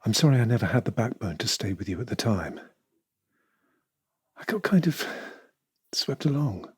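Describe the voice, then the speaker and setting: An actor playing a hesitant, regretful man in an audio drama, with a husky voice and halting, emotional delivery. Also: on the low side, very animated, a little mellow, no room sound, full character voice. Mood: anguished, halting. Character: hesitant, regretful man torn between two women.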